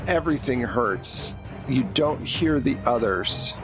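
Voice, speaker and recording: A man's voice: medium pitch, animated, slow pace. A man running a tabletop roleplaying game as game master, describing scenes to the players in vivid, conversational second person. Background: background music.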